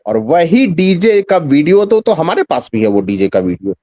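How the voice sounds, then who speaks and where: A man with a high voice gives political commentary in a dry-sounding interview. He speaks emphatically, repeating phrases for weight. He often drives his points with rhetorical questions. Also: sing-song, normal speed, dark tone, dry recording.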